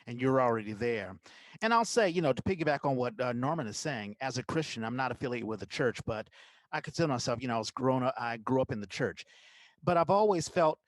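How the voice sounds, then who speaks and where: A man's voice: mid-range voice, expressive, fast talker, dry recording, rough recording. A man hosting a podcast interview, chatting casually in a hesitant, friendly and encouraging way. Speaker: podcast host.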